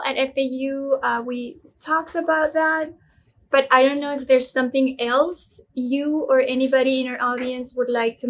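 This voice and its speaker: A woman's voice: high voice, fairly bright tone, slight background noise. Woman from Venezuela hosting a webinar. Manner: friendly moderator, lots of affirming mm-hmms. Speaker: webinar host. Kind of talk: webinar discussion. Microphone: video call.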